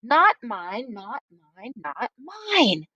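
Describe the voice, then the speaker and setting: A woman's voice: fairly high pitch, sing-song, very slow, slightly rough, no echo, dull audio, exaggerated voice. Woman acting a timid, faltering eleven-year-old girl. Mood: quiet pleading. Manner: faltering, quiet, pleading. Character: timid, upset eleven-year-old schoolgirl, small and hesitant.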